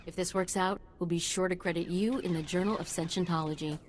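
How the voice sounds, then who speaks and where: A woman's voice: fairly low pitch, steady tone, a little fast, slight background noise, lightly acted. A woman playing an eager, nerdy scientist in a video game, precise and absorbed. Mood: eager, intent. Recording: game music underneath.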